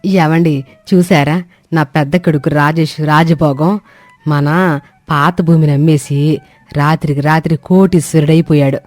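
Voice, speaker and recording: A bossy, money-proud older mother-in-law from a village tale, acted by a woman. She scolds and boasts in homely speech, with a soft dark tone over background music. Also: low voice, steady tone, measured pace, pauses often. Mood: boastful. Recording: echoey sound with music bed.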